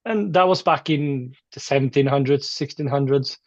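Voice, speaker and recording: A man with a Norwegian accent, a musician chatting casually and haltingly in an interview. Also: mid-range voice, dry recording, muffled recording. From Norway. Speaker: metal musician being interviewed.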